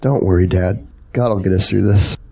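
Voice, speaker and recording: An actor playing a devoted young adult son in an audio drama, sincere and plain-spoken, with a gravelly, husky voice. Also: male voice, sits low, steady tone, moderate pace, mellow, exaggerated voice. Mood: earnest, resolved. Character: earnest, devoted young adult son, anxious for his family.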